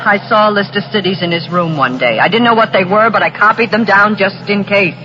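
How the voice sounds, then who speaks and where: A woman's voice: mid-range voice, a little fast, close to an everyday voice. An actress playing a bossy, middle-aged scheming mother in a 1940s radio crime drama, curt and snappish. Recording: noisy, muffled old radio recording.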